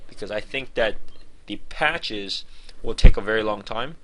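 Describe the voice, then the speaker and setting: A man's voice: on the low side, normal speed, a bit bright. A man giving an informal game review in a conversational, opinionated and emphatic way.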